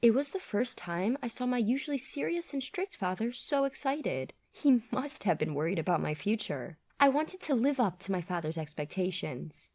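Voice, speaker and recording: A woman's voice: medium pitch, animated, normal speed, few pauses, darkish tone. A woman reading a scripted first-person family drama as a story narrator.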